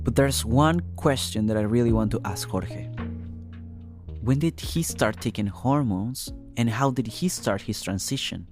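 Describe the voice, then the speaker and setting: Male podcast reporter, scripted storytelling delivery, medium pitch, clear music bed underneath. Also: a little slow, a little mellow. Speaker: reporter and narrator.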